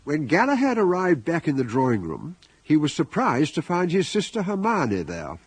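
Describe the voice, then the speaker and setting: An actor playing a wry storyteller, narrating a scripted radio comedy. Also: male voice, on the high side, wildly swooping pitch, moderate pace, background noise.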